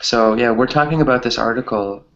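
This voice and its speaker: A man's voice: medium pitch, fairly quick, a bit bright. A man chatting casually as an online class teacher, hesitant and often repeating phrases, on slightly muffled audio. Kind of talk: casual chat with students.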